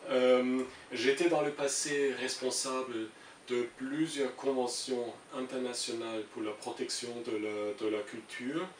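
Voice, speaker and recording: A middle-aged man with a German accent explains his views in an interview, pausing and repeating himself as he looks for words. His pitch is average for a man. He sounds set back from the microphone in a roomy space, with a faint hiss. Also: fairly even intonation, far from the mic. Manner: hesitant, searching for words with frequent repetitions. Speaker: international civil servant being interviewed. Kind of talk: interview answers, explaining an opinion.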